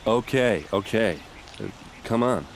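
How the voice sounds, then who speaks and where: An actor playing a gruff, hard-drinking warrior in a video game, blunt and wry in an informal way. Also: male voice, lowish voice, animated, unhurried, a little hiss or hum. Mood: reluctant.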